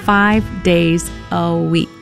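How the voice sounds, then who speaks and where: A woman narrates a scripted documentary in a lowish voice with a noticeable fry. Instrumental music plays clearly behind her. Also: steady tone, drawn-out words.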